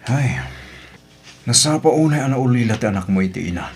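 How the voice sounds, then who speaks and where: A man with an Ilocano accent playing a supportive cousin and devoted husband in a radio drama, animated and earnest. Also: mid-range voice, fairly quick, close to an everyday voice. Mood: sympathetic.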